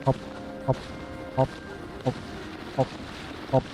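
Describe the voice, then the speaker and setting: A man giving casual, playful gaming commentary with wry asides as he plays. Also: takes it slowly. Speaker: gaming streamer reacting to a story quest. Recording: slightly dull, faint game music.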